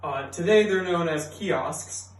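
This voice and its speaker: A man's voice: a little high, slow pace. Man, bilingual English and Persian speaker, earnest explainer, occasional fillers, echoey room, distant mic. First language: bilingual, raised speaking English and Persian. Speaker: student presenter. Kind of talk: scripted educational video narration. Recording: roomy echo, mic at a distance.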